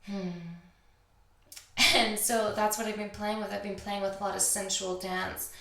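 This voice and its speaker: A woman with a slightly raspy voice full of vocal fry, recorded in an echoey room. She hosts a spiritual podcast alone, speaking in a confiding, unscripted way. She leaves thoughtful pauses as she goes. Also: mid-range voice, little pitch movement, sharp tone. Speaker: podcast host. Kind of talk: intimate solo spiritual podcast talk. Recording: echoey, roomy sound.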